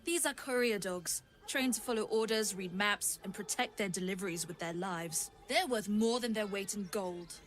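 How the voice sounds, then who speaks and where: An actress playing a stern, no-nonsense keeper of courier dogs in a video game, speaking briskly and firmly. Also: female voice, medium pitch, natural voice. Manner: brisk, firm. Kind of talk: video game character acting.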